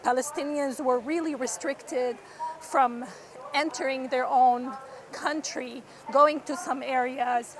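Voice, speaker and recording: A woman's voice: high voice, fairly even intonation, slow pace, poor audio. Earnest woman from Syria, crowd behind. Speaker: interviewee. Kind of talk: interview answer.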